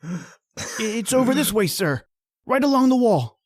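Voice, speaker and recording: A man's voice: high-pitched, very animated, normal speed. A man playing a timid, fearful, deferential manservant in an old-time radio horror drama. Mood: frightened. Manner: anxious, deferential. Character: timid, fearful manservant named John, easily despairing.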